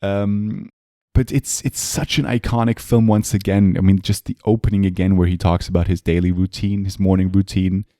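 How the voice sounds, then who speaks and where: A man co-hosting a podcast, chatting casually about films in a relaxed, hesitant way with many pauses. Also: low voice, no room sound, clean studio sound. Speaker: podcast co-host. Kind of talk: casual film chat.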